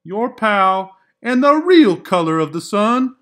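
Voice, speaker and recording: A man's voice: high-pitched, sing-song, takes it slowly, fairly bright tone, heavily acted. A man voicing a boastful orange crayon in a children's storybook, gloating and emphatic. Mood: smug. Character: boastful, smug orange crayon claiming sun's color. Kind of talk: children's picture book read-aloud.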